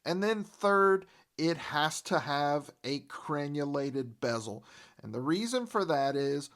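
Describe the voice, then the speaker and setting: A man's voice: on the high side, lively intonation, takes it slowly, a bit bright. A congested man hosting an upbeat, enthusiastic gear review with a stuffy nose. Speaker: host. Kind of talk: product review.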